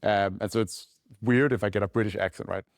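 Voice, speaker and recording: A man's voice: fairly low pitch, fairly even intonation, vocal fry, no room sound, studio quality. A man with a Danish accent, a company founder answering questions in a casual podcast interview, talking loosely with lots of "like" and "right?". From Denmark. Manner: quick, loose talk full of "like" and "right?".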